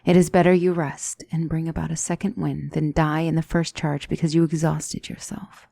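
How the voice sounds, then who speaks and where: Woman voicing a demonic female mentor, gentle and measured, dark mellow tone, close dry sound, ASMR roleplay. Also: low voice, little pitch movement, vocal fry, dry recording. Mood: calm, instructive. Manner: measured, deliberate, soft-spoken, instructive. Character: gentle demonic female tutor, calm strategic battle mentor.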